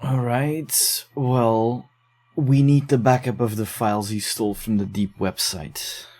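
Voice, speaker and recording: An actor playing a dogged, low-key man investigating case files in a horror audio drama, speaking in a subdued, measured way. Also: steady tone, darkish tone. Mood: calm, earnest. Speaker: investigator digging through case notes.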